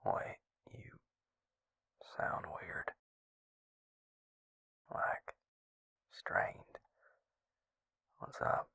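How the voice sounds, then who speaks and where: A man playing a gruff, caring vampire healer in an intimate roleplay audio, speaking in a hushed, breathy, gravelly murmur, blunt but tender. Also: extremely slow, pauses often, no room sound, in a whisper, clean studio sound. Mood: concerned, blunt. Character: gruff, caring adult male vampire healer, protective and exasperated.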